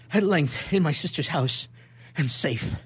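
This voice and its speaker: An actor playing an anguished, horror-stricken young scientist in a melodramatic radio play, declaiming in a halting, overwrought way. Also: male voice, a little high, lively intonation, husky, full character voice. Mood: fearful, remorseful. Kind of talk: melodramatic 19th-century stage acting in radio theatre.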